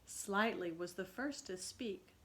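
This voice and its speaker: A woman's voice: mid-range voice, slow pace, a bit bright. A woman reading a children's story aloud dramatically, in a teacherly way that invites listeners to think.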